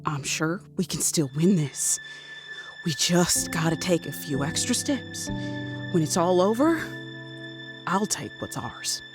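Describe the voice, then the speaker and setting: A woman's voice: on the low side, expressive, takes it slowly. A woman acts out a loyal underdog peacemaker in a western radio play. The voice moves freely up and down with an earnest, placating manner, set over prominent music. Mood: determined. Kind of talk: dramatic western audio drama acting.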